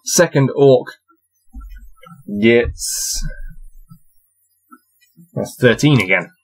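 A man's voice: mid-range voice, animated, drawn-out words, soft background music, rough recording. Young man reading a gamebook aloud. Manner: amused, laughs often, counts out dice rolls. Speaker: reader playing a gamebook. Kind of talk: casual read-aloud with dice commentary. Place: small cluttered room with shelves, indoors.

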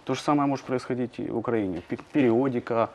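A man, a journalist, answering in a studio interview, talking quickly with many hesitations and fillers. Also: medium pitch, a little mellow. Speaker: journalist guest.

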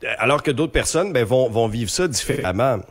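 This is a man in his thirties with a Quebec French accent, talking as a radio columnist. His pitch is mid-range and moves around a lot. He sounds congested and explains things in an animated, colloquial way, close on the mic. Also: fairly quick. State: congested from a respiratory infection. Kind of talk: conversational radio commentary. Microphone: close studio microphone, remote video link.

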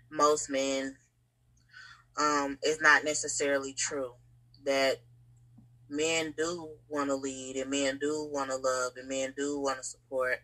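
A woman's voice: a bass voice, pushed and bright, low-quality recording. A woman speaking casually and candidly as a podcast guest, often checking that the listener follows. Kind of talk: casual, candid interview conversation.

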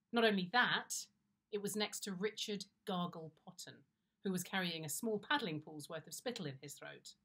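A middle-aged British woman reading a comic first-person story in a conversational, wry and confiding way with expressive timing. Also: on the low side. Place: indoors, plain-walled room.

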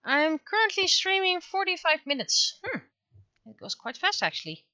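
A woman's voice: very high-pitched, very animated, moderate pace, bright, forceful tone, no room sound, dull audio. A woman chatting casually on a livestream while she draws, musing half to herself and trailing off. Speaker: livestreaming webcomic artist.

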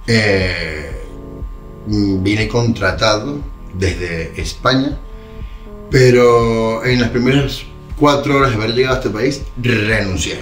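A man's voice: lowish voice, takes it slowly. A man in his thirties with a Venezuelan Spanish accent, telling his story casually in an interview, with hesitations and repeated words. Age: 30s. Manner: relaxed, repeats words for emphasis, frequent hesitations. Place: bright room indoors, seated on sofa. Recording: roomy sound with music under it.

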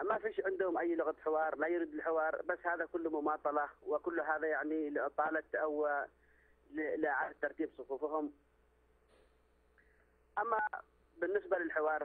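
A man's voice: a little high, dry recording. Man calling in by phone, pushy and repetitive, phone-line sound. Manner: insistent, repeats phrases, many restarts. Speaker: phone-in caller. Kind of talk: political opinion on a call-in show. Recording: dry tinny phone line.

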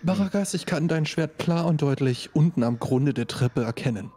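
A man with a dark, somewhat raspy voice plays a tabletop game. He improvises haltingly, switching between casual talk and formal in-character lines. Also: fairly high pitch, expressive. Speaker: player in tabletop role-play.

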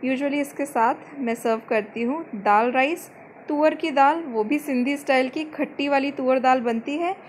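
High-voiced woman narrating a home cooking recipe. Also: normal speed, a little hiss or hum, low-quality recording. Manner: calm, instructive, mixes English words into Hindi. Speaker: cooking channel host. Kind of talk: step-by-step cooking voice-over. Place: home kitchen.

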